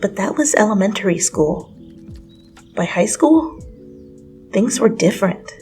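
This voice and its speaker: A woman's voice: mid-range voice, expressive, measured pace, darkish tone. A woman reading a story aloud as scripted, dramatic second-person narration. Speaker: fan fiction reader. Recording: music under the voice.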